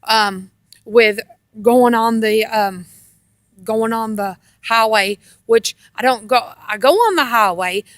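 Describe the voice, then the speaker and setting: A woman casually telling rambling personal stories in a halting, meandering way, often repeating herself. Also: on the high side, no echo, clean studio sound. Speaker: storyteller on own video channel.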